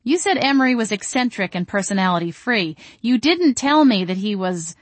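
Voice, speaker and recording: A woman's voice: medium pitch, animated, normal speed, no echo, lightly acted. A young female vampire from a comic novel, acted by a woman reader. She is sarcastic and easily exasperated, leaning on her words with wry emphasis. Her voice is mid-range and recorded very dry.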